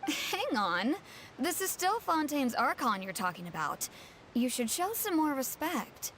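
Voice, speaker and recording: A woman's voice: high-pitched, sing-song, unhurried, fairly bright tone, a little hiss or hum, heavily acted. A woman voicing a proper, law-minded townswoman with a prim, earnest manner.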